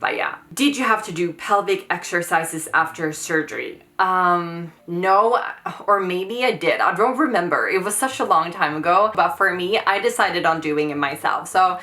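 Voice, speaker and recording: A woman's voice: fairly low pitch, moderate pace, bright, forceful tone. A woman in her thirties with a Swedish accent, answering viewer questions in a casual vlog, hesitant and trailing off with many fillers.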